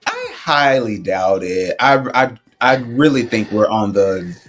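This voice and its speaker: A man co-hosting a casual chat show, colloquial and animated as he gives opinions and tells stories. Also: lowish voice, unhurried, faint music behind. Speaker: podcast co-host.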